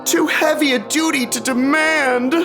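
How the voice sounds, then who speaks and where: A woman playing an anguished cultist in an audio drama, pleading in a drawn-out, theatrical wail. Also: high-pitched, fairly even intonation. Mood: agonized. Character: anguished cultist pleading in agony over sacrifice. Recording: music under the voice.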